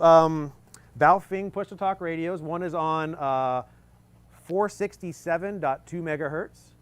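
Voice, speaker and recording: Man in his thirties, slightly high voice, easygoing demo presenter who talks things through and cracks small jokes; recorded under an outdoor tent. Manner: casual, joking, explains step by step with many fillers. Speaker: presenter at a live equipment demonstration. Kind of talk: live technical demo talk.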